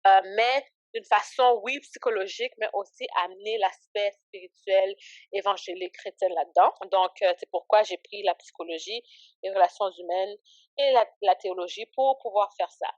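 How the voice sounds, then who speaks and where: A woman's voice: mid-range voice, normal speed, no room sound, lo-fi sound. A woman in her late twenties, born in Haiti and raised in Canada, chatting as an interview guest, hesitant with many fillers and laughing easily. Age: 20s. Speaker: guest, ministry founder. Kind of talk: casual interview chat. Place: Ottawa.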